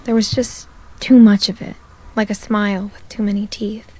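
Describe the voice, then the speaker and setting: A woman voicing a young woman who narrates her return to her family home, in a quiet, wistful storytelling manner. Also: mid-range voice, flat delivery, normal speed, natural voice, poor audio. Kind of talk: game narration.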